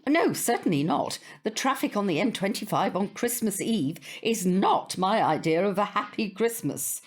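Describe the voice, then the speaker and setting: An actress playing a prim, proud older British neighbour in an audio drama, formal and stiffly polite. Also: female voice, mid-range voice, animated, moderate pace, slightly rough, faint music behind. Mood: stiff, wistful. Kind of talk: naturalistic audio drama acting.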